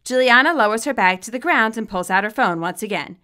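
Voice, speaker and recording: A woman reading her own fan fiction aloud as expressive, scripted story narration. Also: a little high, fairly quick, smooth.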